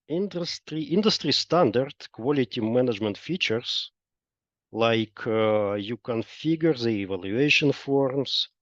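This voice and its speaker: Slow, halting man presenting in a roomy space. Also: medium pitch. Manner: halting, explanatory, frequent pauses and hesitations. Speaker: software company presenter. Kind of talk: webinar product presentation. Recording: dull lo-fi roomy online webinar audio.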